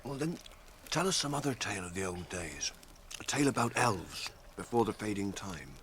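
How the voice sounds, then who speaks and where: A homely hobbit servant for a radio play. He is low-pitched, plain-spoken and wary, yet loyal. Faint ambience runs behind the voice. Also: animated, moderate pace, a bit bright, a little hiss or hum. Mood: wistful, uneasy. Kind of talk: radio drama acting.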